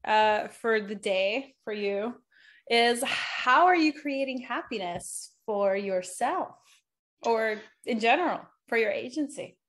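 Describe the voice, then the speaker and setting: A woman co-hosting a podcast, asking questions in a measured, teasing way. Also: a little high, animated, a bit bright. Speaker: podcast co-host. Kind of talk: interview questions.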